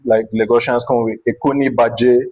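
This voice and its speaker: Male guest, Nigerian accent, average pitch, earnest conversational explainer. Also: little pitch movement, moderate pace, highs cut off. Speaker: guest, multidisciplinary artist. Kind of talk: conversational interview.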